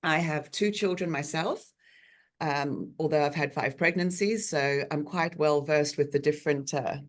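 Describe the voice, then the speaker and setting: A woman in her forties from South Africa, an osteopath giving a chatty online talk with frequent ums, on a video call from a bright treatment room. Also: low voice, moderate pace. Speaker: osteopath guest speaker.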